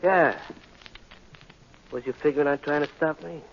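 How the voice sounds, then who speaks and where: An American actor playing a tough but jumpy gangster on the run in 1940s radio style, slangy and blustering. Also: male voice, fairly high pitch, normal speed, gravelly. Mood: belligerent. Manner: slangy, blustering, stammering under pressure. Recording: dull, hissy 1940s radio recording.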